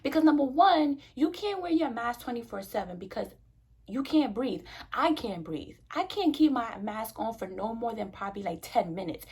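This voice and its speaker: A woman's voice: fairly high pitch, lively intonation, moderate pace, darkish tone, husky. A woman, talking frankly and passionately to the camera in a loose, conversational vlog. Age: 20s. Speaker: vlogger. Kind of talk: casual talk to camera.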